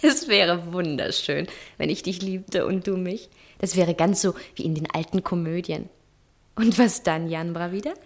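A woman acting in a 1960s German radio play as a capricious young lover, theatrical and teasing, often drifting into breathy murmurs. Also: on the low side, moderate pace. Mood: wry, affectionate. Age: young adult. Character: dreamy, coquettish, capricious young woman in love.

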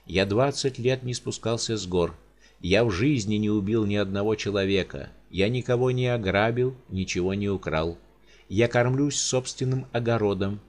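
A man voicing a gentle, mournful four-hundred-year-old male giant in an audiobook, speaking deep, heavy and slow. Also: natural voice.

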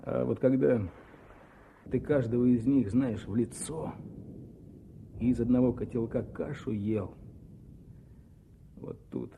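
Weary front-line officer, battle noise. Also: male voice, medium pitch, slow pace, gravelly, pauses often, narrow, tinny audio. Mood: grieving. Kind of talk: Soviet radio play acting. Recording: noisy battlefield sound effects.

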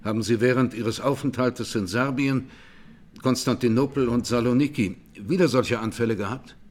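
An actor playing a methodical presiding judge in a radio courtroom drama, questioning formally and insistently. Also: male voice, mid-range voice, moderate pace, lightly acted. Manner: formal, terse, probing.

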